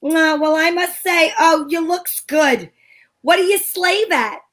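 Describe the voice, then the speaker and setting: Woman doing a breezy 1940s radio lady detective, wisecracking, high bright voice. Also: slow pace, full character voice. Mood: breezy, teasing. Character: breezy, wisecracking 1940s female private eye.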